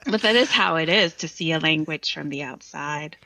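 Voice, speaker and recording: A woman's voice: deep voice, normal speed, gravelly, sharp tone, dry recording, rough recording. A woman guest on a poetry podcast talking in a thoughtful, articulate, explanatory way about literature. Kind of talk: reflective literary conversation with some poem reading.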